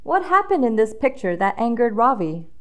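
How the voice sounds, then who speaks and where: A woman's voice: on the high side, very animated, moderate pace, a bit bright. A woman reading a children's storybook aloud with dramatic emphasis, drawing out key words for young listeners.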